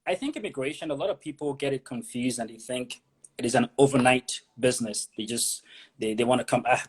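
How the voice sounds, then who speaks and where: A man's voice: mid-range voice, breathy edge. A man from Nigeria, an immigration consultant, explaining pathways as an interview guest, often repeating words as he thinks.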